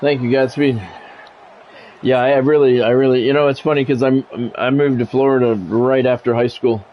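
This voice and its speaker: A man's voice: medium pitch, steady tone, moderate pace, lo-fi sound, a little hiss or hum. A middle-aged American man with a blunt, grumbling manner, switching between casual profane chat and sports play-by-play on a livestream. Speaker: game streamer and sports play-by-play announcer.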